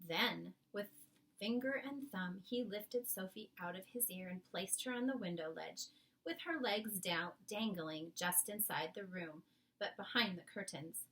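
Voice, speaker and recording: Lady reading a kids book out loud, dramatic pauses, bit far from the mic. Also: mid-range voice. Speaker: storybook reader. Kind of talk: expressive children's book read-aloud.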